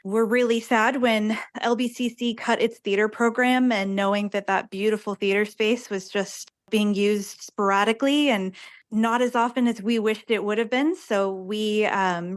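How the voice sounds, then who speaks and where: A woman's voice: medium pitch, steady tone, normal speed. A woman speaking casually and earnestly as an interviewed theater director, with an enthusiastic manner.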